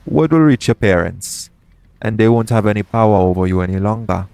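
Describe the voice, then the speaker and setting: Male actor as pirate captain, lowish pitch, shady, persuasive, roguish dialect, intimate audio roleplay addressed to the listener. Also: lively intonation, fairly quick, gravelly, darkish tone, clear, resonant tone, dry recording. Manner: coaxing, bargaining, roguish dialect grammar.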